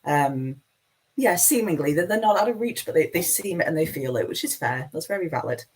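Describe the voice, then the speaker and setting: Low-voiced woman with a Manchester accent. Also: expressive, fairly quick, darkish tone, husky. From Manchester, England. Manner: meandering, self-deprecating, chatty. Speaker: spiritual teacher hosting a live session. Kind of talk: rambling live spiritual talk.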